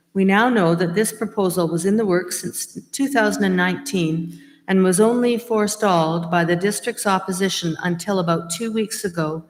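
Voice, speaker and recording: Woman moderator reading questions in a hall. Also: medium pitch. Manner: measured, reading aloud. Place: church hall. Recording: long reverb of a hall.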